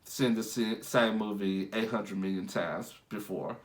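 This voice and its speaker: A man's voice: fairly low pitch, unhurried. A congested young man who is under the weather, rambling casually through a movie review with many fillers and restarts.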